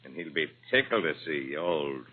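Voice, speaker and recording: An actor playing a cold-blooded tough crook who gives clipped, commanding orders in underworld slang, in 1940s radio crime drama style. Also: male voice, extremely low pitch, expressive, normal speed. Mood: coolly threatening. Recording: muffled, noisy old radio recording.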